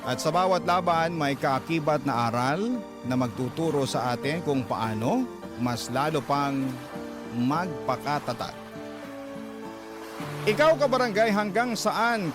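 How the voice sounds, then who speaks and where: A man's voice: on the high side, expressive, measured pace, pauses often, over music. A man reading listeners' letters as a radio host, in a scripted dramatic storytelling style.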